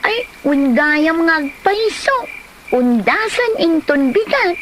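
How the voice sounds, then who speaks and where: A woman's voice: very high voice, expressive, slow, fairly bright tone, slight background noise, full character voice, poor audio. A woman voicing a sweet, dutiful young daughter in a comedy audio drama. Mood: sweet, curious. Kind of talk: comedy radio-style drama acting.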